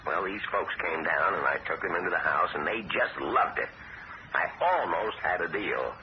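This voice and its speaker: A coaxing, wheedling house seller speaks in a very deep but animated voice. The old radio recording is dull with steady hiss. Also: male voice, moderate pace. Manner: coaxing, persuasive. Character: wheedling small-town man trying to sell a house. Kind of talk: 1970s radio drama acting.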